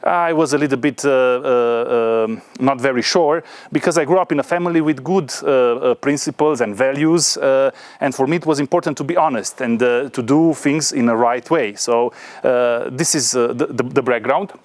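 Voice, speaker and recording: Male, 30s, Romanian accent, mid-pitched, informal presenter with halting delivery, close dry sound. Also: no room sound. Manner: hesitant, filler-heavy delivery with frequent pauses. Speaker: guest speaker, entrepreneur. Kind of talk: informal talk to students.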